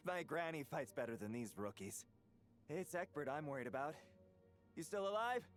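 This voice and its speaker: A sardonic male knight, played by an actor, with a slightly raised pitch. He talks bluntly and teasingly, game dialogue with light music underneath. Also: animated, normal speed, close to an everyday voice. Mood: exasperated, urgent. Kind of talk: video game acting.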